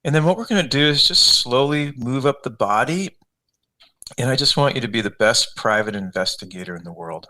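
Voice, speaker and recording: A man leading a guided meditation as a coach, giving unscripted, conversational instructions step by step with gentle repetition. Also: fairly low pitch, rough recording.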